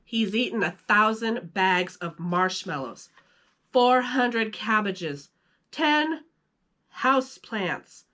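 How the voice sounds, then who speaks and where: A kindly, bumbling cartoon dog father, put on by a woman reading a storybook. He talks in a drawn-out, folksy way, rising and falling animatedly, exasperated but soft at heart. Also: medium pitch, expressive. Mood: fed up.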